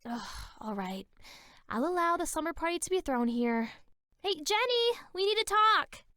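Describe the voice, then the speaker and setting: A woman's voice: very high voice, big pitch swings, normal speed, bright, forceful tone, no room sound, studio quality, heavily acted. A woman voicing a serene new age teenage girl in a cartoon, airy, flowery and affected in manner.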